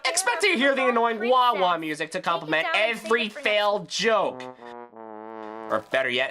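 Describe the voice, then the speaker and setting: A man's voice: sits high, sharp tone. A man delivering a scripted critical review in a ranting, sarcastic, exasperated manner.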